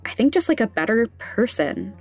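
A woman hosting a podcast, talking candidly and casually in a hesitant, halting, self-conscious way. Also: fairly high pitch, very animated, darkish tone, soft background music. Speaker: podcast host.

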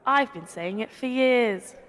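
An actress playing an excitable, opinionated village gossip in a radio play, gushing about local politics. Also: female voice, fairly high pitch, measured pace, big-room sound. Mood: gushing, knowing.

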